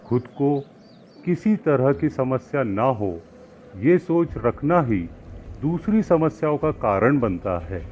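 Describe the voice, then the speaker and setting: A man voicing a calm, wise village holy man who gives counsel in a moral story, measured and didactic with deliberate pauses. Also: mid-range voice. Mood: counselling, instructive. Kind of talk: acted moral-story dialogue. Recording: muffled lo-fi with music behind.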